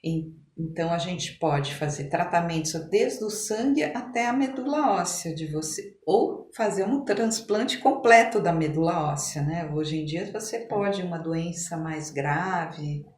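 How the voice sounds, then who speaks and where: A woman with a Brazilian accent explaining things conversationally as an interview guest, in a halting, emphatic way like a medical professional. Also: fairly low pitch, expressive, a little mellow. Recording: echoey room, faint background noise.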